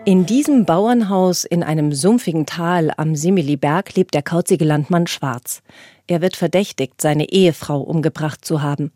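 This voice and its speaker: Female radio reviewer, scripted and articulate; lowish, soft-toned voice, dry studio sound. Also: normal speed, no room sound. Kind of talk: scripted narration, cultural review. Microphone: close studio microphone.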